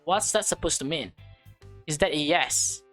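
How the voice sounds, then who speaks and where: A woman's voice: deep voice, lively intonation, moderate pace, a bit bright, faint music behind. Woman reading as an anxious, slangy teenage boy. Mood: exasperated. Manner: casual, slangy, hesitant. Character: anxious, exasperated high school boy pleading with girlfriend.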